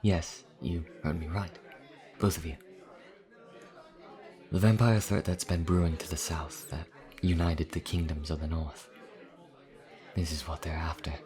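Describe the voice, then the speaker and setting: An actor playing a nervous, earnest vampire traveler in a fantasy audio roleplay, speaking hesitantly with stammers. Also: male voice, a bass voice, fairly even intonation, measured pace, pauses often, crowd noise. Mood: earnest warning.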